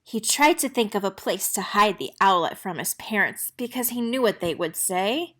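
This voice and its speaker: A woman's voice: medium pitch, lively intonation, moderate pace, fairly bright tone. Woman reading a children's picture book aloud. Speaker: read-aloud narrator.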